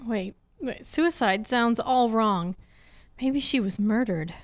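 A woman acts out a sharp young lady detective from a 1950 radio mystery. Her voice sits fairly high and she talks back with wry, stagey skepticism. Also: slow pace. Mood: skeptical, bantering. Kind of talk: old-time radio acting. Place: theatre stage, live performance. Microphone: handheld-style mic on a stand.

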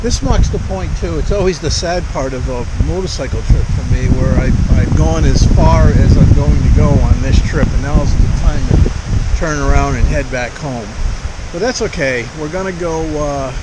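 A middle-aged man vlogging on a windy lakeshore, speaking up loudly over the wind with the camera held at arm's length. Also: a little high, moderate pace. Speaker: motorcycle travel vlogger. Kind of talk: casual travel vlog. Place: windy open lakeshore outdoors. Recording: heavy wind noise outdoors.

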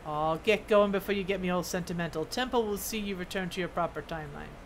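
A woman's voice: lowish voice, fast, voice pushed down, lightly acted. Lady doing a proud old dwarf smith from a fantasy game, folksy, some noise behind. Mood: warm. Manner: folksy, proud, reading scripted dialogue. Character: gruff hearty old male dwarf blacksmith.

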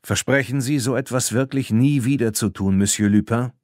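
A man's voice: mid-range voice, moderate pace, darkish tone, no echo, studio quality, lightly acted. Man reading an earnest, conciliatory farm woman. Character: conciliatory, fair-minded woman who runs a farm. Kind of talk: audiobook character reading.

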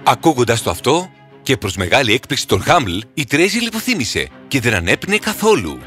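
Animated male fairy tale storyteller. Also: medium pitch. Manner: dramatic, expressive. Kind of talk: scripted fairy tale narration. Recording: music under the voice.

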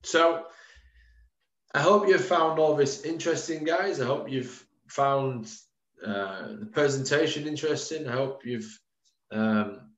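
A man's voice: mid-range voice, measured pace, a bit bright. A man in his thirties, a strength and conditioning coach, giving an informal webinar presentation over a video call, with frequent ums, calling his listeners guys. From United Kingdom. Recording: roomy, dull lo-fi webcam audio.

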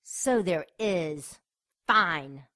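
A woman's voice: fairly low pitch, expressive, very slow, sharp tone, full character voice. A woman playing a seductive, predatory winged succubus in an audio drama, with a sultry, taunting manner. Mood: defiant, scornful.

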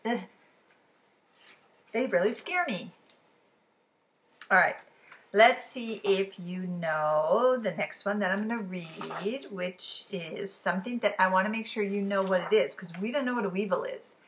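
A woman in her fifties reading riddle poems aloud for a children's storytime, expressive and deliberate, with chatty asides to the listeners. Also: medium pitch. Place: living room at home, indoors. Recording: clearly noisy room recording.

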